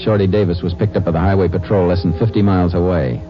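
An actor playing a calm, skeptical lawman with a terse, authoritative manner in a 1950s radio drama. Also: deep voice, fast, music in the background, lightly acted. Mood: matter-of-fact. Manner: terse, matter-of-fact. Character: calm, skeptical, authoritative Texas Ranger investigator. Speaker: lead character and story narrator. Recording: dull, hissy old radio recording.

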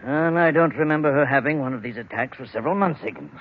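An actor playing a genial but frank family doctor in an old-time radio drama, cajoling and teasing yet firm. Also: male voice, a little high, fast, slight background noise, lightly acted. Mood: concerned. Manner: chuckling, cajoling. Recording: muffled old radio recording.

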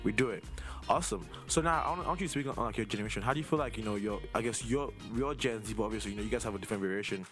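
A man's voice: fairly low pitch, racing, fairly bright tone, soft background music. A man in his twenties from Nigeria, hosting a casual interview in an informal, rambling way that circles around his questions. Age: 20s. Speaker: host interviewing a younger relative.

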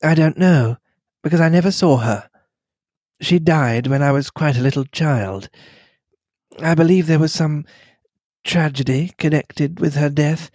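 A man reading the lines of a reserved young English wife, speaking quietly and in a measured way. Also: fairly high pitch, no echo, clean studio sound. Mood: thoughtful.

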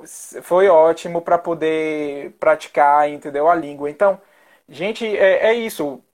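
A man's voice: a little high, a little slow, darkish tone. A chatty male interviewer keeps the talk loose and friendly. He strings his thoughts together with frequent tag questions to his guest. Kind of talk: casual interview chat.

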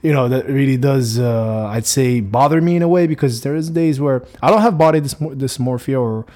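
A man's voice: medium pitch, brisk, dark tone. Young man, Moroccan accent, Arabic native, solo podcast host, casual, rambling, lots of fillers. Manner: rambling, many restarts and fillers.

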